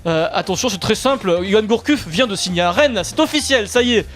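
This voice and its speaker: A man with a notably high voice hosts a radio quiz in a studio, teasing the players and firing off questions briskly. A faint music bed plays behind him. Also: lively intonation, a bit bright. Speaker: quiz master on a sports radio show. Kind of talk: lively radio quiz game.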